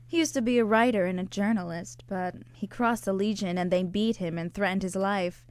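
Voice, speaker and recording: A woman's voice: medium pitch, a little fast, a little mellow, lightly acted. A woman playing a plain-spoken, tart young American barmaid in radio drama dialogue. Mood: matter-of-fact.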